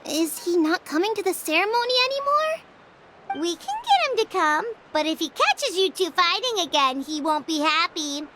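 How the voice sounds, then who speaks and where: A woman voicing a perky, childlike video game character with an expressive delivery. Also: very high-pitched, moderate pace, heavy background noise. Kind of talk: video game dialogue acting.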